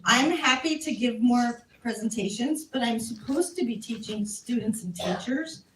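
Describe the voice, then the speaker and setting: A woman's voice: fairly high pitch, expressive, moderate pace. Candid American woman speaking in an echoey hall. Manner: candid, self-deprecating, chatty storyteller. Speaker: civics education speaker. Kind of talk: casual Q&A at a community talk. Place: community meeting room. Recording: echoey room from a distance, faint noise.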